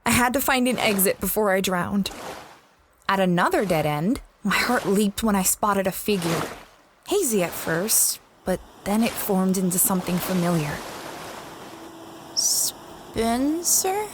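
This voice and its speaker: A woman's voice: mid-range voice, expressive, unhurried, noisy background, natural voice. A woman playing a space explorer who recounts a strange expedition in a conversational, first-person audio drama style. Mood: anxious calling. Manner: reflective, conversational storytelling.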